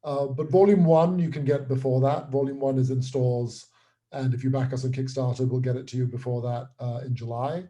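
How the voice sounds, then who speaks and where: A man's voice: medium pitch, fairly even intonation, brisk, dark tone. A man chatting casually as a guest in an interview, talking as a writer and creator.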